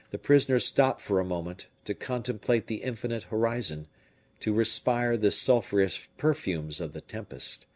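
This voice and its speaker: A man narrating an audiobook with expressive storytelling. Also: fairly low pitch. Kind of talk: scripted narration.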